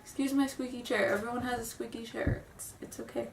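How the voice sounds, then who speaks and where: A woman's voice: a little high, steady tone, fairly quick, vocal fry, a little hiss or hum. A young woman who sounds stuffy from a cold, chatting nervously and rambling in a casual vlog. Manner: nervous, rambling, apologetic, giggly. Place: room at home. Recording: roomy, distant home camera audio.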